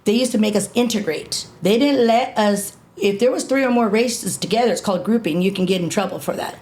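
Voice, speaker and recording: A woman in her fifties telling a gritty personal story in a casual interview, animated, repeating herself and swearing freely. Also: medium pitch, brisk. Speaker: interview guest.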